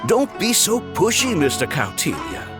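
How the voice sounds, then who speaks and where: A wealthy game businessman, pitched fairly high and swooping expressively. He is courtly and deferential, laying on flowery, theatrical politeness with some music behind him. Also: wildly swooping pitch, moderate pace. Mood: polite rebuke. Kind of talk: video game character acting.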